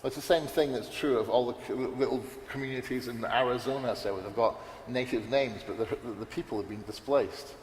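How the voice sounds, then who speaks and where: A man's voice: medium pitch, fairly quick, few pauses, a little mellow. A man on a conference panel, talking in a rambling, unscripted way with many restarts and fillers. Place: large echoey hall. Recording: roomy hall reverb, faint background noise.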